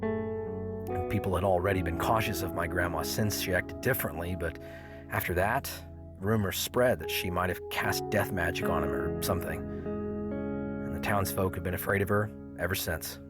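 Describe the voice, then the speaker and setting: Man acting a grown son telling family tales, low voice, wry, reflective, audio drama narration, guitar music behind. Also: moderate pace, natural voice. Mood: wry, storytelling. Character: grown son wryly recalling his odd family. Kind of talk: scripted first-person audio drama narration.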